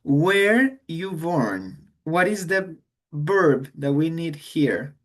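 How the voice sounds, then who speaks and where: Guy teaching english grammar, slow, switches to to explain. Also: a little high, big pitch swings. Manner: slow, explains in English then repeats in Spanish. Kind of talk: online grammar lesson.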